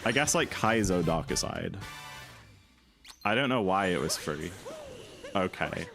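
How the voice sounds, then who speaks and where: A young man with a deep voice, streaming and chatting easily with viewers in a rambling, relaxed way. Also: measured pace, vocal fry. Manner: rambling, easygoing, full of "like". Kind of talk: casual chat while gaming, answering chat. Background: music.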